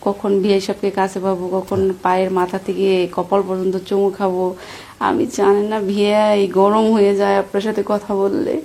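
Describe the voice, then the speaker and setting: A Bangladeshi woman talks quickly in a soft, mellow voice. She is coy and teasing, keeping up a flirtatious, casual chat over a recorded phone call. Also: medium pitch, completely flat delivery.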